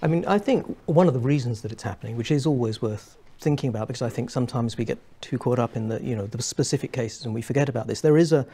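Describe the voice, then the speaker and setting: A young man on a studio panel, explaining at length in a measured way with many restarts. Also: medium pitch, warm tone. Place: small studio set with armchairs.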